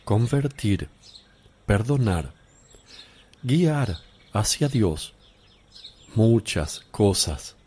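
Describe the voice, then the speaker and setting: Calm solemn religious teacher, slow deliberate phrasing, warm dark timbre, radio drama. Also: male voice, fairly low pitch, breathy edge, exaggerated voice. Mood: solemn teaching.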